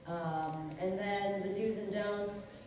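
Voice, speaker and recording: A woman's voice: mid-range voice, steady tone, slow, darkish tone, a little hiss or hum. Chatty woman voice actor in an echoey hall. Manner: chatty, laughs easily, restarts a lot. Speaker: voice actor on a convention panel. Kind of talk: casual panel answer. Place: large convention hall. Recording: echoey hall from far off with crowd.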